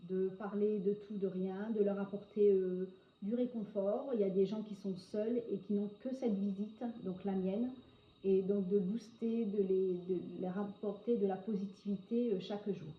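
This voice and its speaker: A woman in her forties answers interview questions at an even, middle pitch, often pausing on fillers before going on. The recording is roomy and echoey, with a dull, muffled tone and a faint hiss behind her. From northern France. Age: 40s. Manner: hesitant, frequent filler pauses. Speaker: hairdresser interviewee.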